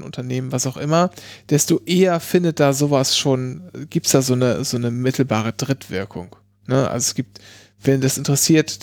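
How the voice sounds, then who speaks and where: A man's voice: mid-range voice, a little mellow, no echo, studio quality. A man chatting casually, explaining legal points with many fillers and restarts. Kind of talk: casual chat explaining law.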